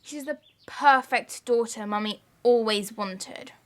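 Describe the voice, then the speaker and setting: A woman's voice: on the high side, takes it slowly, fairly bright tone. A young female actor playing a sulky, lonely girl in an audio drama, plaintive and childlike. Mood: sulky, hurt. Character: sulky, lonely young English girl missing her father.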